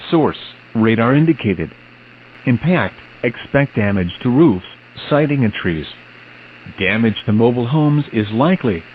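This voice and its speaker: A man reads a scripted emergency weather bulletin in a formal, measured, synthetic delivery. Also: medium pitch, expressive. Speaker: weather radio announcer. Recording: dull, noisy weather radio broadcast.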